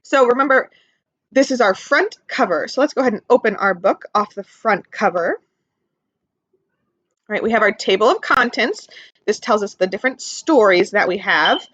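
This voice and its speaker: A woman teaching through an interactive picture-book read-aloud for young children, animated, asking questions and explaining things simply. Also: mid-range voice, moderate pace, no room sound, lo-fi sound.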